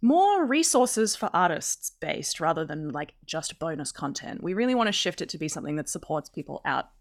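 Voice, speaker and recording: A woman's voice: low-pitched, sing-song. Giggly joking woman podcast host. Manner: laughing, joking, quick asides. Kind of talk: casual chat.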